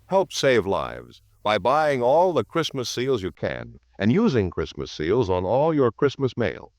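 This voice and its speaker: A man's voice: medium pitch, huge pitch swings, unhurried. An American man announcing in a polished, emphatic 1950s radio style, with formal scripted delivery. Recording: vintage 1950s radio recording, music behind.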